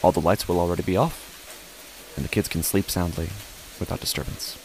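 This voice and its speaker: A low, gravelly male actor as a father tells his own horror story in an audio drama. He is uneasy and brooding, with halting phrases. Noticeable ambient noise sits behind his voice. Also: low-quality recording. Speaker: narrator and lead character of a horror audio drama. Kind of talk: first-person horror audio drama narration.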